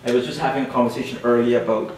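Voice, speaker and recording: A man's voice: mid-range voice, level tone, very fast, darkish tone. Guyanese man presenting in an echoey hall. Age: young adult. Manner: emphatic, repeats key points, checks in with "all right?". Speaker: panel speaker. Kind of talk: academic presentation with slides. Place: lecture room at a panel event. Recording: very echoey room audio from far off with faint noise.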